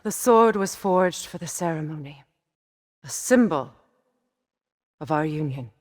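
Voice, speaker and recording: A woman's voice: sits low, lively intonation, measured pace, darkish tone, no echo, clean studio sound. A woman acting a proud, grieving warrior goddess and sorceress in a video game, with a grave, intense, commanding manner. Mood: serious, measured.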